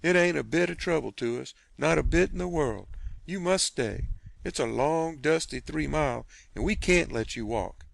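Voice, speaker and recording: A man voicing an indignant, sharp-tongued middle-aged farm woman who scolds in a shrill, drawn-out way. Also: expressive, creaky, dry recording. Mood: hospitable.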